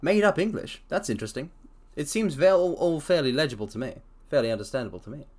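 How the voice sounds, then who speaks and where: A man streaming a dramatic reading, pausing for theatrical asides to his listeners. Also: fairly high pitch, lively intonation, darkish tone. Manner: theatrical, addresses listeners as mortals.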